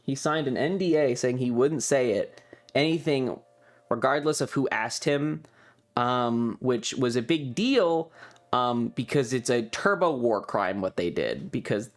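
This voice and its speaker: A man's voice: mid-range voice, expressive, unhurried. A man talking casually as a streamer, describing things in an offhand, rambling, informal way with some swearing. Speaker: streamer and host. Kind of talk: casual livestream chat, describing travel photos.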